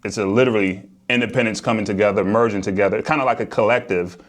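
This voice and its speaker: A man with a deep voice and an American accent speaks casually to a vlog audience. He explains things as a working real estate developer and agent. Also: fast talker.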